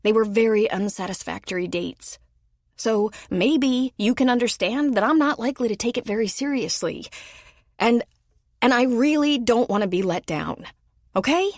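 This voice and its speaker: A woman's voice: fairly high pitch, animated, moderate pace, dry recording, clean studio sound, voice pushed up, natural voice. A woman voicing Lola, a blunt, guarded single mother of forty who gets defensive when complimented. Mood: pleading.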